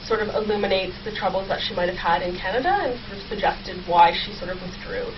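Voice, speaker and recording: A woman's voice: mid-range voice, fairly even intonation, quick pace. A young woman explaining her research conversationally and enthusiastically as an interview guest. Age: young adult. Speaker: guest researcher, history PhD student. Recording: distant, dull, clearly noisy sound.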